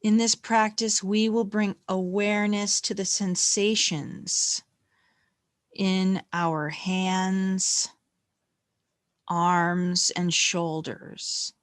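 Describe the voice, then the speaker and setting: A woman leading a guided meditation in a gentle, calm, unhurried manner with long pauses between phrases. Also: medium pitch, no room sound, clean studio sound.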